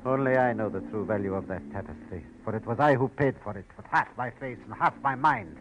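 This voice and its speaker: An actor playing a bitter, menacing, disfigured villain bent on revenge, hissing and theatrical in formal phrases, in an old-time radio crime drama. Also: male voice, medium pitch, fairly quick, natural voice. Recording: noisy vintage radio drama recording.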